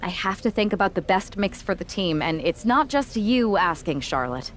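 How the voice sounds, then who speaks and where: A woman's voice: mid-range voice, expressive, normal speed, slight background noise, close to an everyday voice. A woman playing a guarded team-leader wrestler in a video game, speaking in a casual, sardonic way. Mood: thoughtful. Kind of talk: scripted video game cutscene dialogue.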